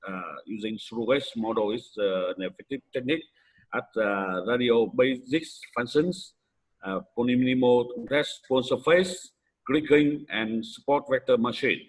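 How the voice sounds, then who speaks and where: Male conference presenter, Vietnamese accent, mid-pitched voice, hesitant academic delivery in halting non-native English. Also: breathy edge, rough recording.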